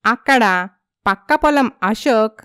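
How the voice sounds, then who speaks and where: A woman's voice: on the high side, lively intonation, slow, a bit bright, smooth, no echo, studio quality. A woman narrating a scripted moral story.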